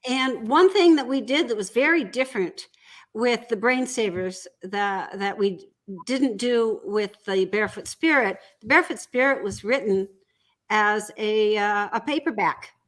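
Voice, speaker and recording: A woman speaking as an interview guest, explaining in a measured, deliberate way and repeating points for emphasis. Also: medium pitch. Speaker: audiobook producer and guest. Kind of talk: conversational explaining.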